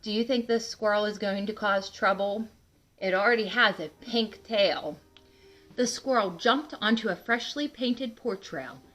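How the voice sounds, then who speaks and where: A woman reading a picture book aloud to children in an animated way, adding asides and questions to the listeners. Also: medium pitch, measured pace. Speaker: read-aloud host for children.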